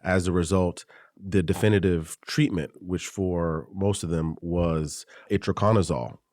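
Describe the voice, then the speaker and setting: A man hosting a podcast interview, asking measured, thoughtful questions. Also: low-pitched, steady tone, darkish tone, no echo, clean studio sound. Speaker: podcast host.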